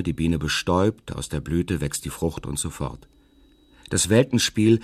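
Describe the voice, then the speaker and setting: Lofty scholar-philosopher for radio feature. Lowish pitch, solemn rhetorical delivery, close dry studio sound. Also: male voice, darkish tone, no room sound. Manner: solemn, rhetorical, deliberate emphasis. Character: learned 1930s neurologist-philosopher, male, earnest and lofty. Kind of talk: dramatic reading of a scholarly treatise.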